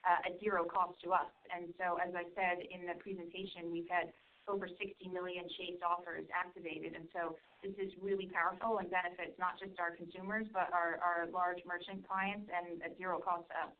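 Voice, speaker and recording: A woman speaking as a company executive, explaining things in a businesslike, measured corporate way on a conference call. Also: on the low side, little pitch movement. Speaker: bank executive on an earnings call. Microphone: conference call line, away from mic. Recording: distant, phone-like conference call audio.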